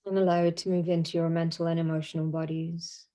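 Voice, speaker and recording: Female meditation guide: warm dark tone, very level intonation, soothing, unhurried phrasing with long pauses. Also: fairly low pitch, level tone.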